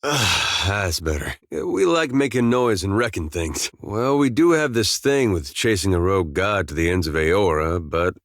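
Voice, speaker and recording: A man playing an easygoing, wry farmer turned adventurer in a video game, with a laid-back, deadpan, folksy way of talking. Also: low-pitched, normal speed, few pauses, dry recording, clean studio sound. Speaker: video game companion character. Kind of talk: game dialogue and banter.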